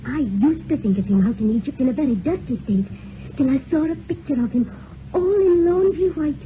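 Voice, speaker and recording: An actress playing a plain-spoken, excitable young working-class housemaid in an old radio drama. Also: female voice, high voice, fairly quick. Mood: chatty, cheeky. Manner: chatty, artless, excitable. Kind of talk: mid-20th-century radio drama acting. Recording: noisy, tinny old radio recording.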